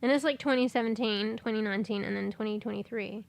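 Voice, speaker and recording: A congested woman chatting casually on a livestream, rambling, swearing and breaking into sudden loud exclamations as she reads things aloud. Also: medium pitch, fairly even intonation, quick pace, creaky. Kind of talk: casual stream chat, reading aloud.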